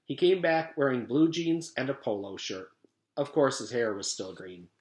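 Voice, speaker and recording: A man's voice: medium pitch, moderate pace, a little mellow. A man reading a children's story aloud as a teacher would to a class. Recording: echoey room, home-made reading.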